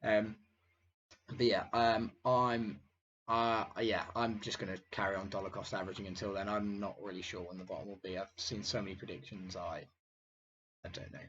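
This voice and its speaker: A young man hosting a casual finance video, his voice croaky from illness, talking hesitantly with many fillers. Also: fairly low pitch, level tone, moderate pace, a bit bright. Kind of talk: casual market commentary. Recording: dull lo-fi sound, faint noise.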